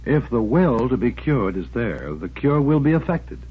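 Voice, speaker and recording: An actor playing a calm, authoritative psychoanalyst who questions in a measured, professional way, in 1940s radio drama style. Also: male voice, mid-range voice, slightly rough. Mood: frank, firm. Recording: tinny, narrow old radio broadcast.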